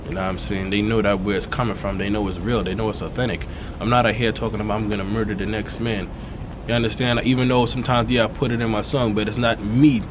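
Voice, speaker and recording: Raspy-voiced rapper with New slang. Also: male voice, lowish voice, level tone, quick pace, background noise. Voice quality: raspy, dark voice. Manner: street slang, frequent "you know what I'm saying". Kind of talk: casual interview. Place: outdoors in a city neighborhood.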